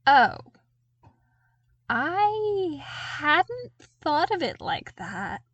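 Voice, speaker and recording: A woman's voice: sits high, sing-song, drawn-out words, a bit bright, dry recording, pitched above the performer's own voice, heavily acted. A woman voicing an earnest, cheerful, slightly sheepish young man in an audiobook, chatty and artless. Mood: hesitant, sheepish. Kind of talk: audiobook character dialogue.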